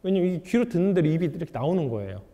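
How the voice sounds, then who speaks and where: Animated man lecturing in an echoing hall. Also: fairly high pitch, racing. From South Korea. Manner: lively, asks the audience rhetorical questions. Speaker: author giving a lecture. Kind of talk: public lecture to an audience.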